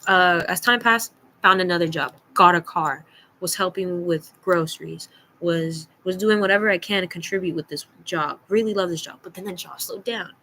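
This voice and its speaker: A woman's voice: on the low side, normal speed, pushed and bright, a little hiss or hum, rough recording. A young adult woman telling a personal story casually, in a blunt, slangy, emphatic way, acting out bits of dialogue. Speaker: storytime vlogger.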